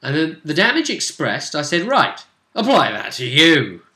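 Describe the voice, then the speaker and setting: A man chatting casually as a game master, retelling a tabletop role-play session in an animated, emphatic way. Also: a little high, moderate pace. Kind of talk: casual storytelling chat. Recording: roomy, echoing home recording.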